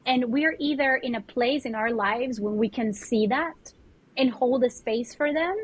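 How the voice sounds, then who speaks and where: Husky woman with a Colombian accent. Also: a little high, measured pace. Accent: Colombian Spanish. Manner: earnest, speaks in spiritual terms, often repeats words. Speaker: guest spiritual mentor. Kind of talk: reflective podcast conversation. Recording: dull lo-fi audio.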